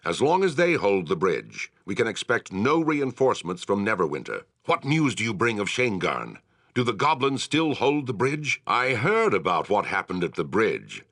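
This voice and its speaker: Casting: a grave fantasy game commander, low-pitched, formal and authoritative, with widely swinging intonation. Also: male voice, huge pitch swings, a bit bright, dry recording, clean studio sound, full character voice. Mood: resolute, urgent. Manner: measured, formal, authoritative delivery. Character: grave, commanding male town war leader in fantasy. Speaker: quest-giving military commander. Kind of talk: video game character acting, formal dialogue.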